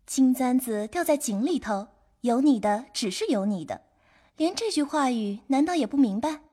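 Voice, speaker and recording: Playful husky young maid. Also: female voice, high-pitched, lively intonation, normal speed, mellow. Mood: teasing. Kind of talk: audio drama acting of a classical novel.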